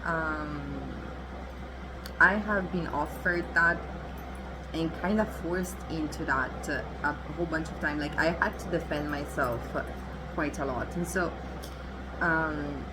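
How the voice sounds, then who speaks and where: Italian-native woman, low voice, noisy street. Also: slow. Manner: candid, chatty, assertive, laughs often. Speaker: tarot reader. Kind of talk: collective tarot reading.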